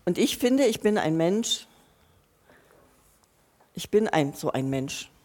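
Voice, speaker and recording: A woman's voice: lowish voice, big pitch swings, takes it slowly, a little mellow. A woman giving a sermon, earnest and personal, speaking straight to her listeners and often restarting or repeating her phrases as she thinks aloud. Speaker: preacher.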